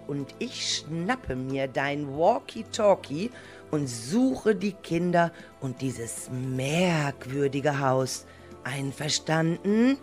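A curious, caring grandmother and schoolteacher from a children's radio play, acted by a woman. She speaks slowly and deliberately with very animated intonation, often as if thinking aloud. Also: deep voice, full character voice. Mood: caring, interested. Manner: deliberate, expressive, talks to herself. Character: curious, caring grandmother and teacher searching for her pupils. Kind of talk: children's amateur audio drama acting.

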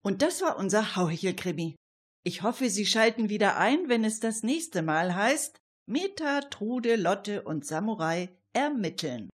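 A woman's voice: mid-range voice, big pitch swings, measured pace. A woman telling a radio crime story in a colloquial storytelling style, as its narrator.

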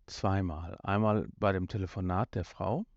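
A man's voice: deep voice, fairly even intonation, moderate pace, no echo, lo-fi sound. A man chatting as a podcast co-host in a colloquial, conversational way. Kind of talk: casual chat, chipping in with comments.

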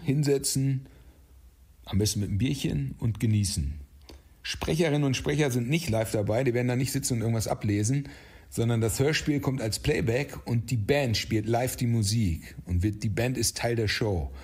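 A man with a slightly husky voice giving explanatory answers as an interview guest. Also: medium pitch, moderate pace, darkish tone. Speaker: interview guest, audio drama writer.

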